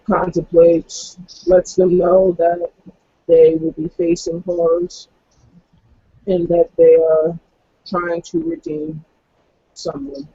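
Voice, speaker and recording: A man's voice: sits high, lively intonation, slow, vocal fry, pauses often, breathy edge, no room sound. A man playing a tabletop role-playing game, casually and somewhat hesitantly describing his character's actions on a lo-fi microphone. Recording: close, dull lo-fi microphone.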